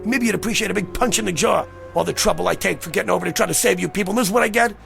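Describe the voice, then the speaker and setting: An actor playing a brash, slangy, fast-talking gambler with a gravelly voice in a radio drama. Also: male voice, high-pitched, over music. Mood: angry, combative. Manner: slangy, wisecracking, cajoling. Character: brash, fast-talking gambler, agitated man out of his time. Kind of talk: radio drama acting and first-person narration.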